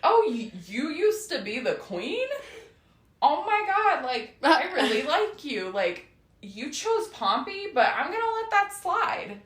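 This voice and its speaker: A woman's voice: high-pitched, very animated, slow, a bit bright, echoey room, voice pushed up, exaggerated voice. A woman playing a smitten older male ruler, gushing in awestruck exclamations.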